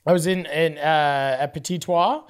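A man whose voice sits high, telling a story in loose podcast chat. He rambles, repeats himself and circles back to his point. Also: steady tone, normal speed, clear, resonant tone. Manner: rambling, repeats words as he goes. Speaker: podcast co-host.

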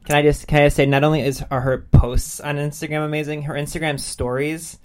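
A man's voice: a little high, level tone, brisk, smooth. An American man co-hosting a book podcast, talking in a casual, informal and effusive way. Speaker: podcast co-host discussing upcoming books.

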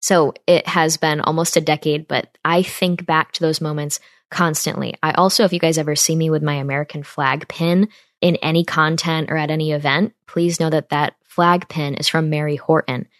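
A woman's voice: sits low, steady tone, moderate pace, no room sound, clean studio sound. A woman in her twenties from upstate New York, hosting a podcast and talking earnestly and directly to her listeners. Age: 20s. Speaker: podcast host. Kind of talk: casual monologue.

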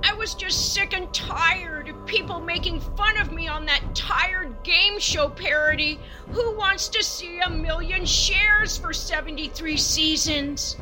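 A woman's voice: very high voice, poor audio. A woman playing a brazen, self-absorbed diva pop goddess in a campy comic radio play, theatrical and blasé. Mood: exasperated, confiding. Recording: music under the voice.

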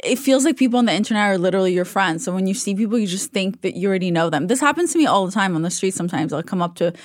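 A woman's voice: medium pitch, expressive, racing, no echo, studio quality. A young adult woman born in Russia and raised in Israel, telling her story as a podcast guest in a candid, chatty way. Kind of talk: casual interview chat.

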